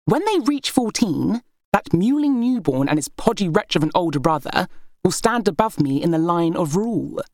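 A woman narrator acts out an imperious young royal heir from a historical storybook. She is proud, scornful and quick to fury, her pitch swooping upward over a dry recording. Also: big pitch swings, a little mellow, no room sound. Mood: contemptuous.

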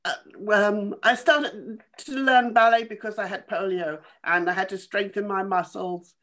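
A woman in her eighties from Kenya, a former dancer telling her life story in a halting, thoughtful way with small laughs. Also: mid-range voice, steady tone, a bit bright. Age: 80s. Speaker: interview guest, former dancer. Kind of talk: casual remote interview.

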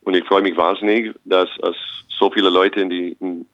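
Young American coach, very low voice, positive and earnest, dry dull recording. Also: male voice, flat delivery, normal speed, no room sound. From United States. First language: English. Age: young adult. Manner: optimistic, earnest, restarts phrases often. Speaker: basketball head coach. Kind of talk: interview guest.

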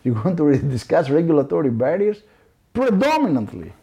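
Husky, mellow-voiced male professor. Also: a little high, brisk. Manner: animated, frequent "I mean" asides, chuckles. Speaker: law professor and guest. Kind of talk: conversational interview answers.

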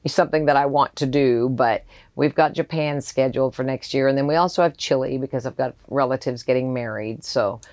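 A woman's voice: extremely low pitch, brisk, dry recording. A middle-aged woman, a charter company owner, chatting casually as a podcast guest, telling stories animatedly, laughing often and acting out what people said. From raised abroad, settled in Houston. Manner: animated storyteller who acts out quoted remarks and laughs often.

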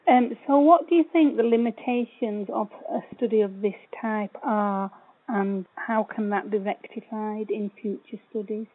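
A woman interviewing a researcher, asking polite, measured questions. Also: mid-range voice. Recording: tinny phone line.

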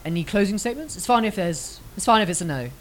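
A woman's voice: medium pitch, expressive, fast talker, a little hiss or hum. A British woman hosting a casual show with young people. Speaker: host of a youth show.

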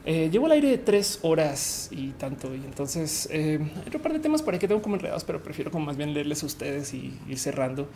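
A woman's voice: sits low, very animated, a little fast, hardly any pauses, dark tone, a little hiss or hum. A woman from Colombia hosting a live talk show, chatting casually with her audience and rambling with many fillers and restarts. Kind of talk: casual commentary on a livestream. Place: lives in Mexico.

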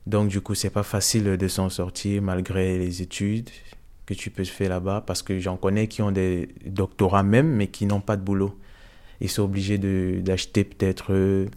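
A young man from Cameroon giving a hesitant, soft-spoken personal testimony in an interview, with many fillers. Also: sits low, little pitch movement, normal speed.

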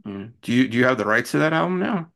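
A middle-aged American man, interviewing casually in an informal, halting, agreeable way. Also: medium pitch, lively intonation, gravelly, fairly bright tone. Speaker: interviewer, host.

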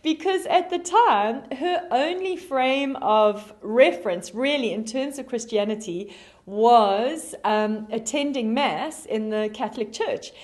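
A woman's voice: on the high side, expressive, slow. A woman with a South African accent preaching a sermon, telling stories between frequent ums. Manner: warm storytelling with frequent ums. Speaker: pastor.

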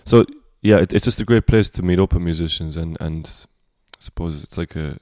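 A singer-songwriter from Cork with a Cork Irish accent and a baritone voice, speaking as a guest in a relaxed podcast conversation and thinking aloud as he talks. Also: normal speed, dry recording, studio quality. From Cork, Ireland. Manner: reflective, rambling.

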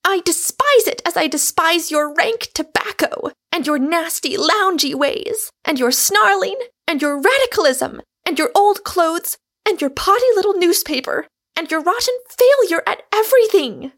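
Here is a woman playing a young heiress from a storybook, high-pitched and indignant. She throws out her words with forceful, emphatic scorn, swinging boldly as she rages. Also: animated, drawn-out words, hardly any pauses, exaggerated voice. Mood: scornful fury. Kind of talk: acted audiobook dialogue.

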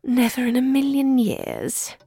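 A woman's voice: sits high, wildly swooping pitch, unhurried, dark tone, husky, no room sound, studio quality, heavily acted. A woman voicing a smug, haughty pet cat with a condescending, purring drawl in a dramatised children's audiobook.

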